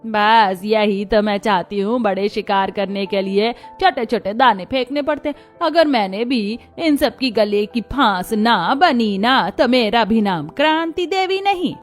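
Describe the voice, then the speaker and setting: Woman playing a scheming, high-voiced mother-in-law. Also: expressive, measured pace, few pauses. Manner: bossy, theatrical. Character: scheming, commanding middle-aged mother-in-law feigning sweetness. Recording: music behind the voice.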